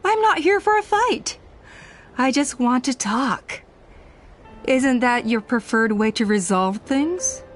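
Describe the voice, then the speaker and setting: Proud, scheming female clan elder, high-voiced. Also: lively intonation, full character voice. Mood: calm, persuasive. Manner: measured, persuasive, formal speechmaking. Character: proud, scheming clan elder woman, zealous and self-righteous. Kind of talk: scripted video game voice acting. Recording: music under the voice.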